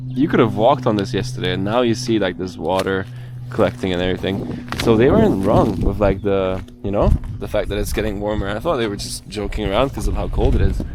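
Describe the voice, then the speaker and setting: A man filming a casual travel vlog outdoors by a frozen lake, talking excitedly, laughing at himself and using plenty of fillers. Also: fairly low pitch. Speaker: travel vlogger. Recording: noisy outdoor recording.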